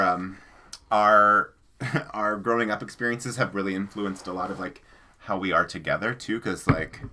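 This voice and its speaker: A man in his thirties with a low voice and a bright, forward tone. He talks in a loose, rambling way, full of fillers and "like". He sounds like a co-host in a casual book-channel chat. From American Midwest. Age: 30s.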